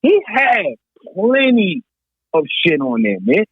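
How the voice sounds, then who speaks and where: Tinny, dry phone-quality audio: New male guest, emphatic, slangy, quick to interject. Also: sits high, huge pitch swings, husky, no room sound. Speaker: podcast guest. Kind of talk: casual debate. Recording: thin phone-like line, dry.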